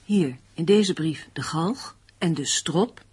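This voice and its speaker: A husky, determined woman sleuth. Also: deep voice, lively intonation, slow pace, darkish tone, full character voice. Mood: insistent, suspicious. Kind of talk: radio play acting.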